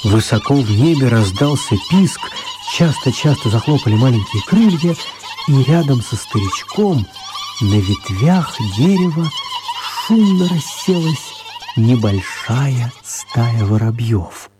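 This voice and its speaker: An actor playing an author who narrates his children's story in a radio play, mellow and husky. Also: medium pitch, huge pitch swings, slow, noisy background. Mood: storytelling.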